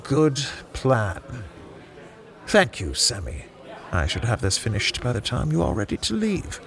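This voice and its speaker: Man playing a slow, formal, secretive gentleman. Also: mid-range voice, huge pitch swings, gravelly, long reverb tail, full character voice. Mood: businesslike. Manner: measured, formal, dry. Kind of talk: audio drama acting.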